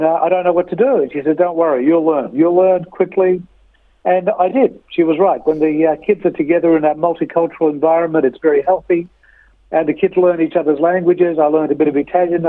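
A man's voice: fairly high pitch, dry recording. Man in his sixties on a tinny phone line. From Australia. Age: 60s. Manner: measured, storytelling, frequent uh pauses. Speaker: interview guest. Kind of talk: reflective interview answers.

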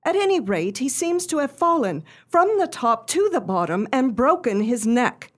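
Radio drama client: genteel young lady, played by an actress, earnest, formal, fairly high voice. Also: very animated, moderate pace. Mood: earnest, grave. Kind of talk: radio play acting.

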